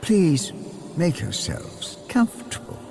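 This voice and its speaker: Slow, creaky, courteous alien intelligence. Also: male voice, on the high side, very animated, noisy background, full character voice. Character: ancient, courteous, eerie disembodied intelligence, softly apologetic. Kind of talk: radio drama acting.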